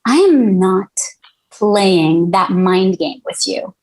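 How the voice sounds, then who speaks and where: Medium pitch, touchy music mogul, defensive, hesitant, casual, table-read drama. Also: female voice, lively intonation, takes it slowly, a little mellow. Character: defensive, irritable male music mogul groom. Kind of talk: scripted table read acting.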